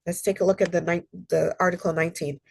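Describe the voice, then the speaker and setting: A woman with a lowish voice presents over a video call, sounding slightly nasal as she gets over a cold. Her talk is earnest and conversational, dotted with ums. The sound is dry and close, with no room echo. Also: level tone, fast talker, vocal fry, husky. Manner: earnest, conversational, with frequent ums and personal stories. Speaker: human rights consultant and presenter. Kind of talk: online library presentation.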